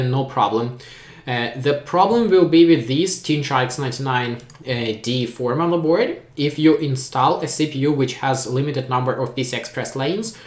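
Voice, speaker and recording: A man whose first language is Ukrainian, hosting a live question-and-answer session, talking plainly and matter-of-factly with frequent hesitant pauses. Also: mid-range voice, roomy sound. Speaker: tech channel host. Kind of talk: live Q&A reading viewer questions.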